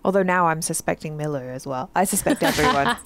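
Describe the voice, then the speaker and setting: A woman hosting a reaction video, chatting casually and gasping and commenting expressively. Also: sits low. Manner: expressive, quick to gasp and comment. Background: game audio and music.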